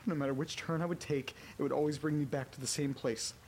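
A man playing a frightened character trapped in a haunted house, muttering to himself haltingly and swearing in a horror audio drama. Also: a little high, fairly even intonation, a little mellow, slight background noise, natural voice. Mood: panicked, frustrated.

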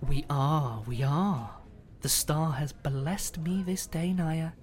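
A woman's voice: deep voice, slow pace, a little mellow, a little hiss or hum. A woman playing a devout, reverent and welcoming cult follower in a horror audio drama. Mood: reverent, awed.

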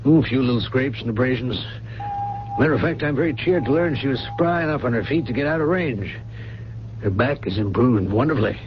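An actor playing a breezy, reassuring hospital doctor in a 1970s radio drama, chatty and genial. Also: male voice, mid-range voice, expressive, fairly quick, over music, close to an everyday voice. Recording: muffled radio broadcast with clear noise.

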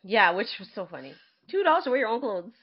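A woman co-hosting a casual chat show, speaking in a blunt, playful and expressive way. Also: medium pitch, quick pace, lo-fi sound. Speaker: podcast co-host.

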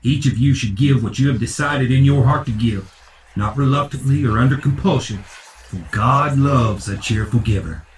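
A man's voice: medium pitch, lightly acted. Man playing unctuous preacher in echoey church. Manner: unctuous, folksy, deliberate emphatic pauses. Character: greedy showman preacher working his congregation for donations. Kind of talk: theatrical sermon in audio drama. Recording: echoey, distant church hall with crowd.

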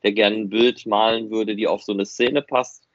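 A man's voice: fairly low pitch, fairly even intonation, moderate pace, no echo. A man chatting casually and unscripted as host of a fan podcast. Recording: muffled, dry, band-limited audio.

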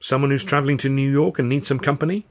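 A man's voice: medium pitch, steady tone, quick pace, voice pushed up, lightly acted. A man voicing an anxious, determined young wife in a storybook reading, pleading and eager. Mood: hopeful.

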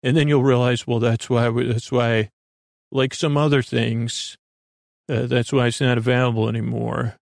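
Male podcast host, very creaky gravelly voice, meandering bedtime monologue, hesitant, dry close sound, faint music. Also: mid-range voice, little pitch movement, moderate pace, a little mellow, no room sound. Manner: meandering, hesitant, trailing off into tangents. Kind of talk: rambling monologue to help listeners sleep.

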